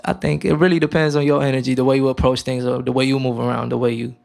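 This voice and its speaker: A man's voice: medium pitch, creaky, soft background music. A young man from Romania, a guest musician, talking casually in an interview with many fillers and restarts. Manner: relaxed, halting, many fillers.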